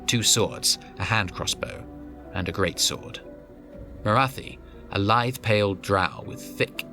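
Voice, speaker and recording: Low-voiced male fantasy narrator. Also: sharp tone. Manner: measured, descriptive storytelling. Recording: background music.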